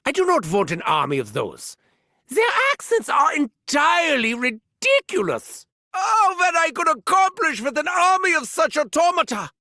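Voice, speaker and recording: An actor voicing an arrogant, unhinged German mad scientist in a video game, pompous, theatrical and gleefully sinister, with a German accent. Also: male voice, very high voice, pushed and bright, no echo, studio quality, exaggerated voice. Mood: disdainful, then indignant. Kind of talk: video game character voice lines.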